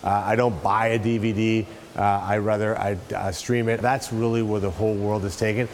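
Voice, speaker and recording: Low, flat-voiced man with background noise. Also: moderate pace. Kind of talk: off-the-cuff interview soundbite.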